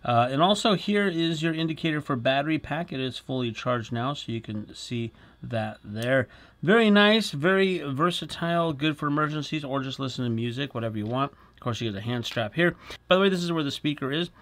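A man's voice: on the high side, expressive, fairly quick, a bit bright. A middle-aged man, chatty and explanatory, hosting a casual product demonstration in a small indoor studio. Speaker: product review host.

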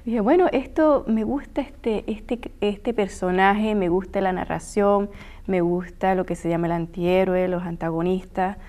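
A woman's voice: mid-range voice, unhurried, dark tone. A woman, a guest author answering questions in a small TV studio interview, thoughtful and earnest. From Puerto Rico. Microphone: lapel mic.

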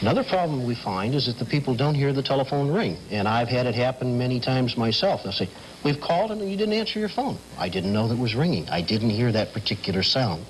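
A man's voice: mid-range voice, big pitch swings, a little fast. A middle-aged American man explaining as an interview guest in a television studio, on slightly noisy vintage TV sound. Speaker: telephone company specialist, guest. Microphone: lapel mic.

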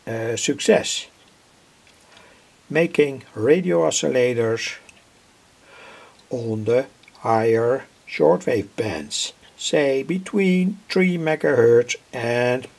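A man explaining a homemade electronic circuit informally, in a halting, deliberate way with pauses. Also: medium pitch, animated, gravelly, a little mellow. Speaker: electronics hobbyist presenter.

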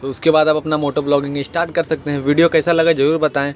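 A man's voice: a little high, little pitch movement, fast talker, a little hiss or hum. A man hosting a casual moto vlog, chatting step by step through a setup with an earphone mic tucked in his helmet. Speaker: moto vlogger. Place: riding a motorcycle, then at a shop table. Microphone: earphone mic tucked inside a helmet.

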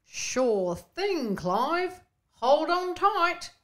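Woman voicing a big, kindly whale taxi driver, slow. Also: high voice, big pitch swings, pitched above the performer's own voice, heavily acted. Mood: cheerful.

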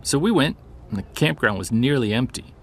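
A man's voice: medium pitch, animated, normal speed, breathy edge. A man acting as a witness who recounts an unsettling childhood memory in a hesitant, conversational audio drama style. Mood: wistful, wry. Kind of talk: naturalistic audio drama storytelling.

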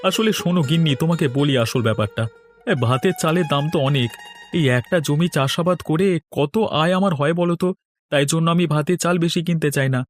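A man voicing a grumbling thrifty farmer, fast and high. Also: dry recording, faint music behind, lightly acted.

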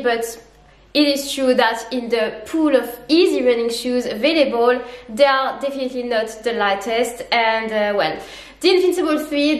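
A woman's voice: a little high, echoey room. A young woman with a congested, stuffy voice from a cold, chatting upbeat to camera as she reviews running shoes at home. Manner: chatty, upbeat, explains step by step. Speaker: running vlogger. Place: living room at home, indoors.

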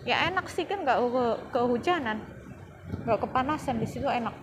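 A woman's voice: a little high, moderate pace. A woman chatting casually while she walks through streets and a mall, recorded on a handheld phone. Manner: chatty, relaxed commentary while walking. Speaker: vlogger. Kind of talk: casual walking vlog. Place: outdoor streets and indoor shopping mall. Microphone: handheld phone camera. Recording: phone video with loud street noise. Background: street and mall ambience.

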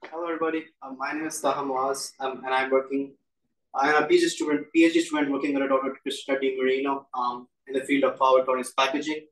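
A man's voice: medium pitch, flat delivery, fast. A young man, a PhD student, introducing his work to visitors, stumbling and repeating phrases. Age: young adult. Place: indoor lab test bay. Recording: echoey room, far from mic.

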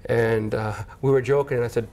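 A man's voice: medium pitch, brisk. A man in his thirties hosting a show, chatting energetically and interviewing a guest inside a church hall on a lapel mic. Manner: friendly, energetic, chatty with laughs. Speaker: TV host interviewer. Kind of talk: casual on-location interview.

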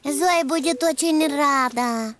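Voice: high-pitched, takes it slowly, a bit bright, soft background music. A performer voicing a cheerful, eager little donkey boy in a preschool cartoon, exclaiming and thinking aloud in a childlike way. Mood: busy, musing.

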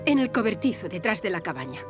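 Female actor as kindly cartoon neighbour woman, mid-pitched, animated intonation, clear music underneath. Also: fast, lightly acted. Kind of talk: animated children's series dubbing.

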